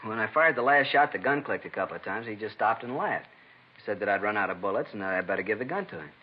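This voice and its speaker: Incredulous robbery victim male actor, American, agitated and emphatic, fairly low quick voice, vintage radio recording with faint noise. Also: expressive, muffled recording, lightly acted. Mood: shaken, incredulous. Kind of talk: 1950s radio crime drama acting.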